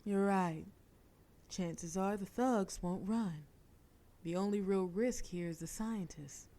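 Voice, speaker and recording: A woman's voice: medium pitch, takes it slowly, dark tone. A woman voicing a cocky, flirtatious female mafia boss who gives orders in a smug, teasing way. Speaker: audio roleplay performer. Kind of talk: scripted audio roleplay.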